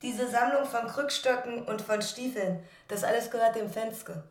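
An actress playing a matter-of-fact guide in a radio play, explaining things in a confiding, conversational way. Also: female voice, a little high, moderate pace, natural voice. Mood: brisk, showing around. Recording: echoey cellar-like room.

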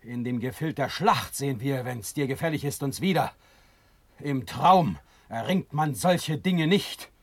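An actor playing a stern, scornful ruler in a classical verse radio drama, with a husky voice. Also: male voice, mid-range voice, lively intonation, a little slow, no echo. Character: stern, scornful Prussian ruler dismissing a prince.

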